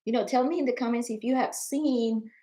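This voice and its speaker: A woman in her forties speaks to the camera in a personal video diary, her voice slightly higher than most. She talks earnestly and emotionally, doubling back on words and rising in energy when something frustrates her. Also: steady tone, a little fast, warm tone. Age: 40s. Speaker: vlogger. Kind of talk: personal vlog talking to camera.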